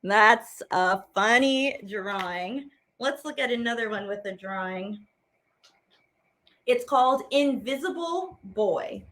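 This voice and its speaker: A high-voiced woman reads children's verse aloud as a teaching artist. Her delivery is theatrical and playful, swooping widely in pitch. She takes it slowly, stretching out words for effect. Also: expressive, a bit bright. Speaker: teaching artist and program host. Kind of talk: expressive read-aloud of children's poems.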